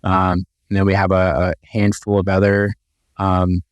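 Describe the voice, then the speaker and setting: A man's voice: deep voice, completely flat delivery, measured pace, clean tone, no room sound. A man co-hosting a real estate podcast, chatting casually and upbeat with lots of fillers and restarts. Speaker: podcast co-host.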